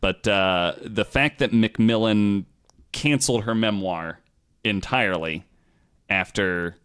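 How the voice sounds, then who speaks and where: A man's voice: on the low side, slow pace, fairly bright tone, smooth. A man hosting a podcast, telling stories in a casual, informal way with loose, sweary phrasing. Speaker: podcast host. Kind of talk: casual storytelling podcast talk.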